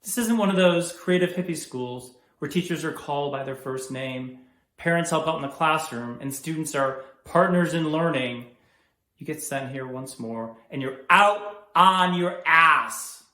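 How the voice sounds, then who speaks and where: A man's voice: mid-range voice, big pitch swings, unhurried, a little mellow. A man acting a stern, threatening, old-school principal with a clipped, authoritarian manner in a monologue. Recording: echoey room.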